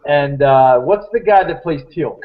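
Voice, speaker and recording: A man's voice: on the high side, animated, a little slow. A man in his thirties hosting a casual panel chat, rambling and repeating words as he makes his point. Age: 30s. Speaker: host. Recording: muffled lo-fi stream audio.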